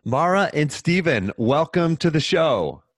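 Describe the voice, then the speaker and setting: A man's voice: a little high, big pitch swings, measured pace, a crowd in the background. A man in his thirties hosting a podcast, reading an upbeat promo and intro and then interviewing his guests. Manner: enthusiastic promo delivery. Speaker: podcast host.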